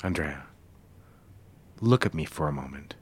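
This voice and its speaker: Deep, husky, controlling boss. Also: male voice, expressive, no echo, full character voice. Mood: soft, seductive. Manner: curt, commanding, measured. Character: cold, controlling male advertising executive boss. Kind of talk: audio drama dialogue.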